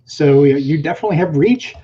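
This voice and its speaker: A man's voice: on the high side, animated, fast, dark tone, slightly rough. A man hosting a casual live show and relaying viewer comments, heard over a slightly muffled line. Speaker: host, reads viewer comments.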